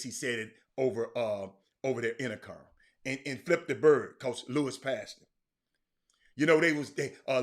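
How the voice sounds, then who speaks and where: American guy doing a laid-out casual sports stream, sharp forceful voice, folksy, says stuff over again to drive it home. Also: mid-range voice. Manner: folksy, repeats words and phrases for emphasis. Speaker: sports talk show host. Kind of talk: casual livestream sports commentary, chatting with viewers.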